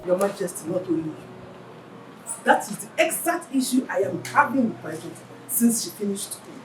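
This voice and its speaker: A woman's voice: medium pitch, expressive, moderate pace, a little mellow, natural voice. A woman with a Nigerian accent playing a persuasive middle-aged mother and confidante to a queen. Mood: animated. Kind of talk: Nollywood film acting. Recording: echoey room, distant mic, music behind.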